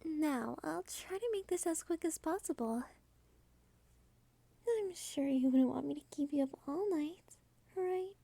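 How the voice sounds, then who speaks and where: A woman voicing a cute, dominant female vampire in an ASMR roleplay, soft-spoken, polite and teasing with quiet possessiveness. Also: sits high, no room sound, heavily acted.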